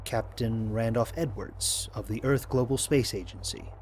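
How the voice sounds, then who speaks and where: A man playing a wry human astronaut captain in an audio drama, speaking in a dry, measured way. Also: mid-range voice, a little hiss or hum, close to an everyday voice. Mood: calm, friendly. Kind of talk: audio drama narration as log entries.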